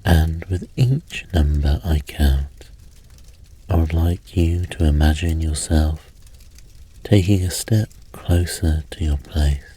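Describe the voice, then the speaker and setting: A man guiding sleep hypnosis in a soft, breathy voice, calm and gentle, with measured pauses. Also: extremely low pitch. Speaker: hypnosis and meditation host. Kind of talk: guided sleep hypnosis, slow soothing narration.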